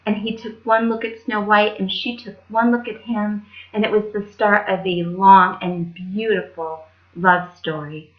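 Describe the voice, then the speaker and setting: A woman's voice: medium pitch, flat delivery, measured pace, dull audio. A woman telling stories to children as a library storyteller, in a casual style.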